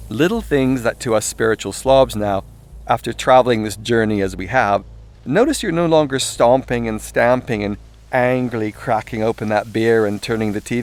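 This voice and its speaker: A man reading his own irreverent self-help audiobook in a theatrical, cheeky, conversational way, with sweary asides. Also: medium pitch. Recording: voice over background music. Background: composed music and soundscape.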